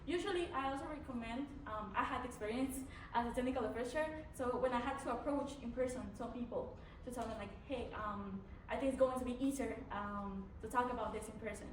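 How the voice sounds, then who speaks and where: A high-pitched young woman with a Mexican accent, speaking English as a second language. She answers questions haltingly, full of fillers and restarts. The voice comes from across an echoey room with a faint hum behind it. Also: far from the mic. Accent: Mexican Spanish. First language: Spanish. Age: young adult. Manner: hesitant, many fillers and restarts. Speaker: student officer candidate. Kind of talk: candidate answering panel questions.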